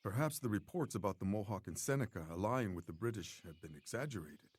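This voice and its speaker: Stern, low-voiced elder uncle. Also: male voice, lively intonation, quick pace, darkish tone, breathy edge. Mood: stern, grave. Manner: grave, authoritative. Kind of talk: dramatic game cutscene acting.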